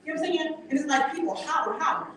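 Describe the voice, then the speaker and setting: A woman giving public comment at a council meeting, forceful and swearing, her voice rising to shouts. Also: high-pitched, animated, racing. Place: council chamber. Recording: echoey distant hall recording, noisy.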